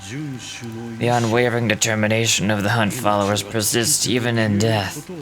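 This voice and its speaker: A man acts out a solemn old guide of lost souls from a fantasy game. The voice sits low and speaks with grave, reflective weariness. A clear crowd-like murmur runs behind it. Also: little pitch movement, normal speed, natural voice. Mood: grave, resolute. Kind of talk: dramatic read-aloud of game dialogue.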